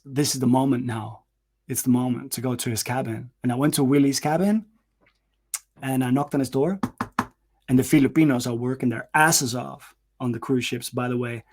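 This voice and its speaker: A man in his forties telling a personal story in a casual, rambling monologue, swearing, restarting his sentences and acting out what people said. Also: mid-range voice. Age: 40s. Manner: rambling, profane, animated, with frequent restarts and fillers.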